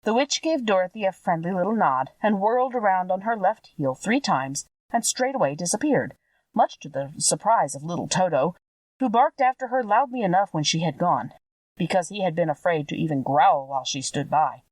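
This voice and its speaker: Expressive woman storyteller, very lively intonation. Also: lowish voice, normal speed, no echo, far from the mic, rough recording. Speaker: audiobook reader. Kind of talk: expressive scripted storybook narration.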